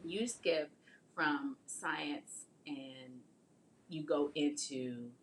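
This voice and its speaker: Slightly creaky woman host, faint rumbling noise. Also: extremely slow. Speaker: host, marketing strategist and children's book author. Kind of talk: casual interview chat with storytelling.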